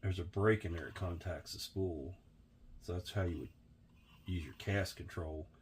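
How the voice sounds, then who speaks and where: Very deep-voiced hoarse man. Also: slight background noise. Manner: unhurried, chatty, admiring. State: hoarse and sniffly. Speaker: vintage reel restorer. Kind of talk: casual workbench tutorial.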